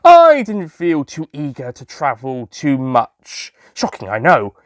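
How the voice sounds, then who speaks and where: A man plays a youthful, jolly Time Lord narrating his own adventures in an audio story. His pitch swings widely as he chats and drops in self-amused asides. The sound is dry, with very little room on it. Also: wildly swooping pitch, slow pace, dry recording, heavily acted. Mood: reminiscing. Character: young excitable alien Time Lord, sweet-obsessed shopkeeper, jolly storyteller. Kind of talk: first-person audio story narration in character.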